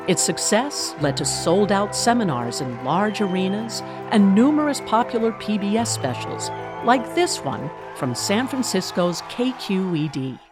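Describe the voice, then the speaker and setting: A woman narrating a scripted documentary in a storytelling style. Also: medium pitch. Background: music.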